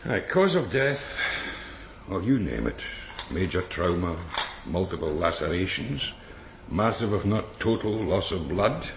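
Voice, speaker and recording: Gravelly, world-weary pathologist. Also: male voice, deep voice, sing-song, full character voice. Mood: clinical, blunt. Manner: blunt, dry, hesitant pauses. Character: world-weary, blunt male police pathologist. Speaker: actor in radio crime drama. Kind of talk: naturalistic radio drama acting. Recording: roomy echo, faint background noise.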